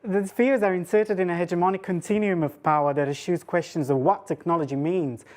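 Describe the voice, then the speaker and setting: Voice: mid-range voice, fairly even intonation, a little fast, a little mellow. A woman lecturer giving an academic public talk, mixing scholarly phrasing with informal asides.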